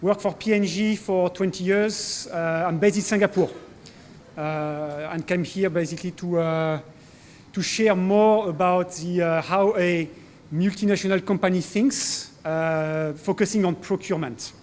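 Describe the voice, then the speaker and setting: A man's voice: sits high, steady tone, measured pace, darkish tone. French-accented man giving a business talk. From France. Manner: hesitant, many fillers and restarts. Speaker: corporate speaker. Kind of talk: conference presentation. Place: conference hall.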